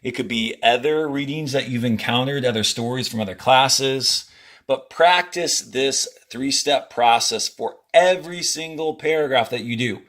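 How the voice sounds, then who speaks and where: A man teaching children, reading a textbook aloud and explaining it step by step in short, deliberate directions. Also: medium pitch, fairly bright tone. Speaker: fourth-grade teacher. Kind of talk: instructional read-aloud of a textbook to pupils.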